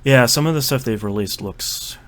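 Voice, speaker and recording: A man hosting a casual podcast about video games, chatting and running the segments with quick asides. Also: medium pitch, a bit bright. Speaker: podcast host. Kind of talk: casual chat.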